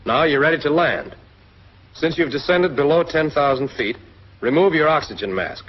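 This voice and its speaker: 1940s American training film narrator, noisy old soundtrack. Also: male voice, a little high, lively intonation, moderate pace, muffled recording. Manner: instructive, addressing the trainee directly.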